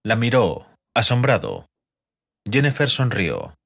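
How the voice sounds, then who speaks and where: A man's voice: mid-range voice, huge pitch swings, slow, gravelly, fairly bright tone. A man narrating a fantasy audiobook as a scripted storyteller.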